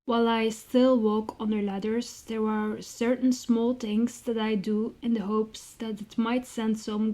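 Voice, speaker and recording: A woman with a soft, mellow and fairly high voice speaks as an interviewed writer. She is reflective and articulate, choosing measured, slightly formal phrasing. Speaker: interviewed writer and zine co-founder.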